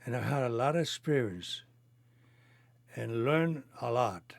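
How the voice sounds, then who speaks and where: A man in his eighties from Cuba, a native Spanish speaker with a Cuban accent, recounting his life in halting, non-native phrasing. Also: medium pitch, lively intonation, gravelly, dry recording. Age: 80s. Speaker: interviewee telling his life story. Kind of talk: reminiscing conversation.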